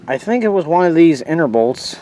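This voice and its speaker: A man's voice: a little high, sing-song, fairly quick. Sniffly man rambling about a tractor outdoors. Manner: rambling, hands-on, with frequent restarts and asides. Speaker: tractor restoration vlogger. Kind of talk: casual walkaround vlog. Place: outdoors by an old tractor on a sunny day. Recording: noisy outdoor handheld recording.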